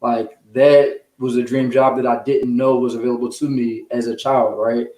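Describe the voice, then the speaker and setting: A man's voice: medium pitch, level tone, normal speed, mellow. Echoey recording of a man being interviewed. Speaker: guest, children's author and sports journalist. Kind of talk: conversational interview answers. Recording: echoey room sound.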